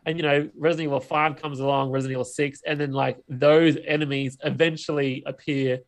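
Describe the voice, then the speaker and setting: A man's voice: on the high side, little pitch movement, fairly quick. A man co-hosting a podcast, talking casually and conversationally about films. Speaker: podcast co-host. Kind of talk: casual film chat.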